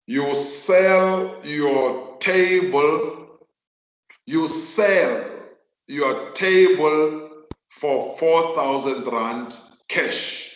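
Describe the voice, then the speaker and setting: A South African man whose mother tongue is Northern Sotho, teaching a virtual class in an emphatic, deliberate way and repeating phrases for effect. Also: a little high, a bit bright. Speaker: accounting teacher. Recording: echoey room.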